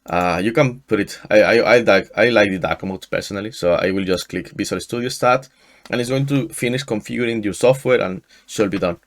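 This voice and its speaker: A man in his thirties from Mexico, a native Spanish speaker with a Mexican accent, walking through a software install in a screen-share tutorial, often restarting his sentences. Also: fairly low pitch. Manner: halting, frequent restarts and fillers. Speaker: tutorial host. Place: small room indoors. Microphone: headset.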